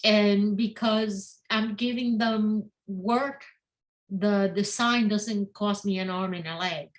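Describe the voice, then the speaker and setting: A woman's voice: mid-range voice, steady tone, takes it slowly. A woman whose first language is not English, a guest author in a casual interview, often repeating and restarting her words.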